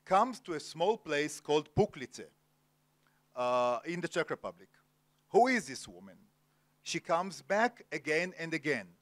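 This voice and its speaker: A man's voice: a little high, fairly bright tone. A man moderating an onstage literary interview, speaking formally with many hesitations. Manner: formal, many filler pauses. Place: cultural center event hall, Jerusalem.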